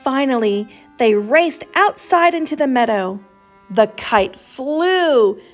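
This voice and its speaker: A woman reading a children's picture book aloud in an expressive, dramatic way, drawing out the sound words. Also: high-pitched, faint music behind. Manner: slow, dramatic, drawn-out delivery of sound words.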